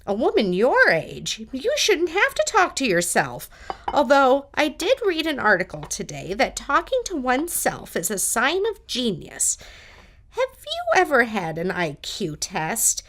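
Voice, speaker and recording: An actress playing a chatty, nosy older widowed neighbor, rambling and fussy, in an audio drama. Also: female voice, a little high, huge pitch swings, a little slow, a bit bright, exaggerated voice. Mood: chatty, meddling.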